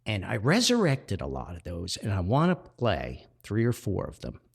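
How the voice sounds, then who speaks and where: A man hosting a personal podcast, talking reflectively and earnestly with drawn-out emphasis. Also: lowish voice, wildly swooping pitch, creaky, breathy edge. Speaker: podcast host.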